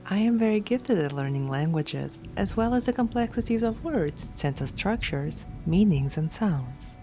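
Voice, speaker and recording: A woman reads scripted affirmations for sleep hypnosis in a measured, deliberate way, phrase by phrase. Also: medium pitch, expressive. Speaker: affirmations narrator. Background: background music.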